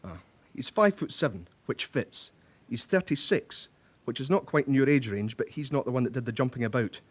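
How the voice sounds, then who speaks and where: An actor playing a methodical, self-satisfied British police records officer, explaining in measured phrases, in a radio drama. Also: male voice, mid-range voice, expressive, close to an everyday voice. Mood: pleased, methodical.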